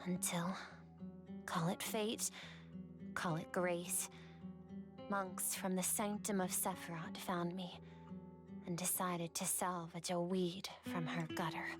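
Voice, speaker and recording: Woman playing earnest young female gardener, soft sincere tone, medium pitch, slow, game dialogue with music underneath. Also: close to an everyday voice. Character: gentle, earnest young gardener, devoted and brave. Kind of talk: video game voice acting.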